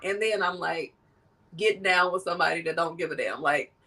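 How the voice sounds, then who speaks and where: Woman host with a cold, nasal, lively and laughing, heard a little off-mic. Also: lowish voice, a little fast, recorded from a distance. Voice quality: animated and laughing often. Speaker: podcast host. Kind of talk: casual conversation.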